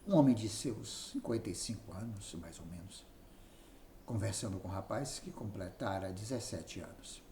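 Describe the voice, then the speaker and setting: A man with a Brazilian accent narrating a written memoir aloud in an expressive reading style. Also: on the low side, moderate pace. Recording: roomy echo, faint background noise.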